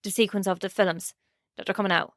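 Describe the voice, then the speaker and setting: A woman co-hosting a podcast, blunt and teasing, her voice a bit croaky from a sore throat. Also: mid-range voice, steady tone, quick pace, a bit bright, dry recording, clean studio sound. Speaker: podcast co-host. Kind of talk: casual chat.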